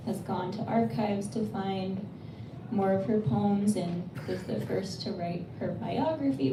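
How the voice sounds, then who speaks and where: A woman translator answering audience questions at a live bookstore reading, speaking earnestly and thoughtfully with frequent restarts. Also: mid-range voice. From United States. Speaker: poetry translator. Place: bookstore event space. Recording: roomy, distant, noisy room sound.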